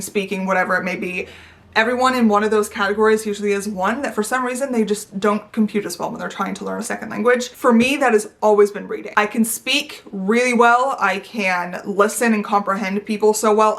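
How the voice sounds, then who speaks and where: A woman's voice: mid-range voice, a bit bright. A young woman talking to her viewers in an unscripted, rambling vlog chat with playful asides. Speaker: YouTuber. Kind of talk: unscripted casual chat to camera.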